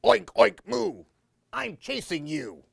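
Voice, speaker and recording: A woman's voice: medium pitch, very animated, slow pace, vocal fry, husky, dry recording, studio quality, pitched above the performer's own voice, heavily acted. A woman voicing silly pretend monsters that roar and threaten to gobble. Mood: growly. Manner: exaggerated roaring and gobbling.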